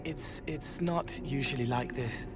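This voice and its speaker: An actor playing a gentle, cryptic young spirit who wants to help, speaking in halting, poetic fragments in a video game. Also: male voice, medium pitch, music in the background, lightly acted. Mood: earnest, gentle. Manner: halting, earnest, poetic, rambling. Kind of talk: dramatic video game acting.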